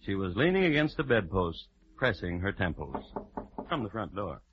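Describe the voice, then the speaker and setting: A man's voice: deep voice, big pitch swings, normal speed, heavy background noise. A man narrating an old radio drama from a script, in a wry, arch and ornate manner. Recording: dull vintage radio recording with music.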